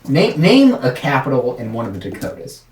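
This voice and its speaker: A man's voice: medium pitch, sing-song, a little fast, recorded from a distance. An American man chatting casually and jokily as a talk show host. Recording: echoey room, off-mic, faint noise.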